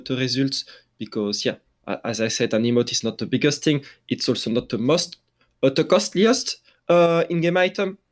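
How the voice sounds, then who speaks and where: Hesitant French-accented man. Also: medium pitch. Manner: halting, many restarts and fillers. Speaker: esports journalist. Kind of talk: casual podcast discussion.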